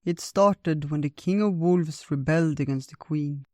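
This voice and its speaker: An unhurried, wise old male bear from a storybook, acted out by a narrator, welcoming guests and telling tales with theatrical, drawn-out phrases. The sound is dry and gentle. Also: medium pitch, dry recording, close to an everyday voice. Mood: solemn, reminiscing. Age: older.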